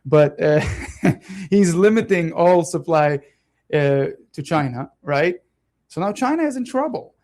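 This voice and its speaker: A man in his thirties with a soft Israeli-Italian accent, a native Hebrew speaker, talking casually and animatedly as a radio co-host. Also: fairly high pitch. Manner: animated, talks fast with many restarts and laughs. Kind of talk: casual political chat.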